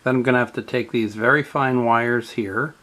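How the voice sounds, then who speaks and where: A man narrating a step-by-step radio repair in a halting way, with many fillers. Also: medium pitch, steady tone, fairly bright tone.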